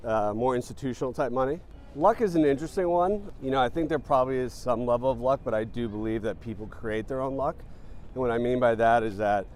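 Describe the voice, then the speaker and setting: Founder type, a man at mid pitch, easygoing and reflective, recorded outdoors with light traffic. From born in Panama, raised in New Jersey. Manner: relaxed, thoughtful, frequent ums and uhs. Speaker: startup founder, guest. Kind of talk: casual interview answers.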